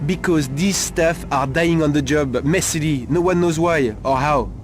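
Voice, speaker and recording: An actor playing a sleazy, nervous Paris street informant in a video game cutscene, talking in a halting, insinuating way. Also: male voice, on the high side, a little hiss or hum, natural voice. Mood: guarded.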